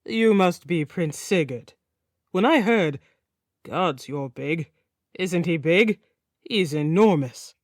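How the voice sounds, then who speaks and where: A woman's voice: fairly low pitch, animated, slow pace, no room sound, studio quality. A woman voicing a flamboyant, talkative male king with a sing-song Nordic accent, effusive and teasing. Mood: gushing. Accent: Nordic, put on. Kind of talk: audiobook character voice.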